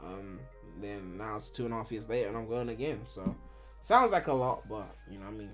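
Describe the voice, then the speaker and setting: A man's voice: lowish voice, animated, fairly quick, music in the background. A man in his twenties from Ghana, chatting casually on a live stream at home, rambling and candid with lots of filler and swearing. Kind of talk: casual live-stream chat answering viewers.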